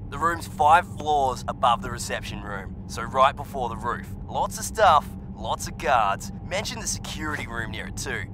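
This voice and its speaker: A man's voice: mid-range voice, expressive, normal speed, hardly any pauses, music in the background, lightly acted, rough recording. An actor playing a cocky, wisecracking male mercenary in a video game, sardonic and full of slang. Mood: matter-of-fact. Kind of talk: video game cutscene acting. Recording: loud background noise and ambience.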